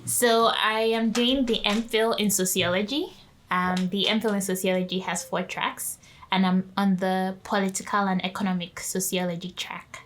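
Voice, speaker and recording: A woman's voice: medium pitch, fairly even intonation, moderate pace, a little hiss or hum. A woman in her twenties, a graduate student guest answering interview questions politely, often affirming with "yes" and light laughs. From Nigeria. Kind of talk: casual interview.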